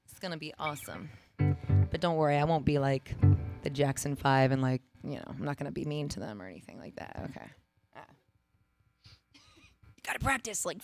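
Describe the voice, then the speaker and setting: A raspy woman in her thirties, a singer-songwriter rambling and joking between songs on a stage. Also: low-pitched, dry recording, studio quality. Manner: rambling, self-mocking, quick asides. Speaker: singer-songwriter performing live. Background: guitar playing.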